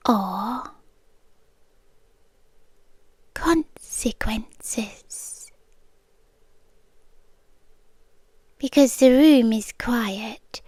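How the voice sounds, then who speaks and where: A British woman playing a menacing, possessive yandere girlfriend in an ASMR roleplay, speaking with deliberate teasing pauses and breathy half-whispers. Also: on the high side, warm tone, dry recording, studio quality, heavily acted. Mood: patient threat. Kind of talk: ASMR roleplay, slow intimate dramatic monologue.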